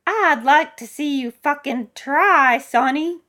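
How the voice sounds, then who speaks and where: A woman's voice: high-pitched, slow pace, sharp tone, voice pushed up, exaggerated voice. A woman voicing a stubborn, sarcastic elderly woman with a snappy, dismissive manner in a story reading. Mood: defiant. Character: stubborn, feisty, sarcastic elderly woman, tiny cat lover. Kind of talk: audiobook character voice.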